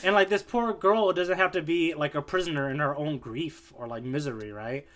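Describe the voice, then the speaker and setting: Male podcast host, slightly high voice, loose and joking, leans on fillers. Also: moderate pace, a bit bright. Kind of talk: casual chat.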